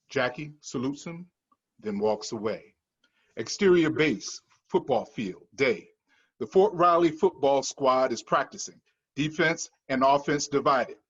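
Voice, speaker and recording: A man's voice: medium pitch, animated, slow pace, fairly bright tone, slightly rough, lo-fi sound. A man reading the stage directions of a screenplay at a table read in a matter-of-fact manner.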